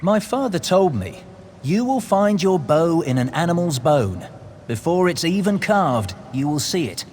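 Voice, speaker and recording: Brooding undead general in reverberant hall. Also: male voice, on the high side, sing-song, unhurried, darkish tone. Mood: wondering, reminiscing. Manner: brooding, dramatic. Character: haunted undead warrior general, proud and guilt-ridden. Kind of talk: video game character dialogue. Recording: long hall reverb, background noise.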